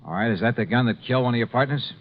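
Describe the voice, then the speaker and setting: An actor playing a brisk, hardboiled insurance investigator who narrates and acts in a radio drama with clipped, punchy delivery. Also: male voice, medium pitch, a little hiss or hum, close to an everyday voice. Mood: probing. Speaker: narrator and lead detective. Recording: muffled vintage radio recording. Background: music.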